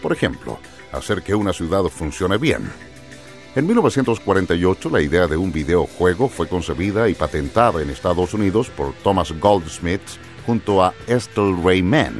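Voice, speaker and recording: A man's voice: deep voice, very animated, moderate pace, faint music behind. A man reading scripted educational narration as the narrator of a radio programme.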